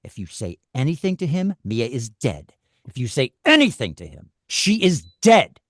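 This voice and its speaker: A man's voice: mid-range voice, wildly swooping pitch, moderate pace, dry recording, clean studio sound. A man playing a cruel, volatile kidnapper in a script read, curt and commanding. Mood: threatening. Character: menacing male kidnapper, volatile and cruel, on the phone. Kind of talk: dramatic script table read.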